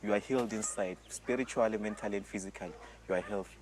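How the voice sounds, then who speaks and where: A man's voice: lowish voice, fairly even intonation, fast talker. A man in his early twenties with a South African accent, giving a personal testimony as a volunteer in an interview style. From rural South Africa. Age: early 20s. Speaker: youth retreat volunteer.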